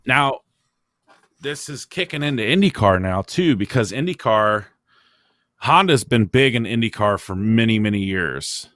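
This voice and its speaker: A man with a fairly low voice hosts a casual motorsport podcast. He thinks aloud in a halting, opinionated way. The sound is very dry, with no room around him. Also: animated, fairly bright tone, dry recording. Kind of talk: casual racing discussion, opinionated.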